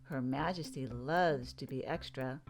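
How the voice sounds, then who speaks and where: A woman's voice: extremely low pitch, measured pace, music in the background. A woman reading a children's storybook aloud as scripted narration.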